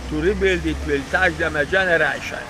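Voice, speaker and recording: A man's voice: on the high side, steady tone, fairly quick. Older guy, broken english, keeps repeating himself, traffic noise all around. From Hungary. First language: German. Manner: broken English, repetitive, emphatic. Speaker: interviewee. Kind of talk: casual recorded conversation.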